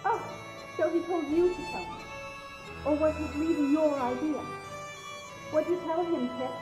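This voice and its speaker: A woman's voice: high voice, moderate pace, natural voice. A woman playing a proud, indignant young bride-to-be in a video game, accusing and emphatic. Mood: angry, accusing. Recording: echoey, dull, loud music behind.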